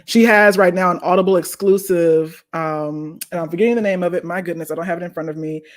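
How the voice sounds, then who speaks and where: A woman's voice: fairly low pitch, steady tone, fast talker. A woman speaking as a panelist in a casual chat, gushing about recommendations in a playful, emphatic way.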